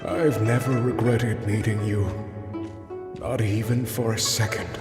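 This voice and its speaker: A man's voice: on the low side, fairly even intonation, unhurried, close to an everyday voice. A man playing a tender, devoted figure from a memory in a fantasy game, heard in an echoey reverb. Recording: echoey hall reverb under music.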